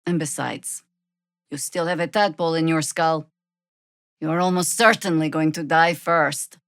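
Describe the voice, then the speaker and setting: An actress playing a stern, battle-hardened older druid heroine in a fantasy video game, dry, sardonic and commanding in delivery. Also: female voice, deep voice, fairly even intonation, normal speed, a bit bright, no echo. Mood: measured, weary.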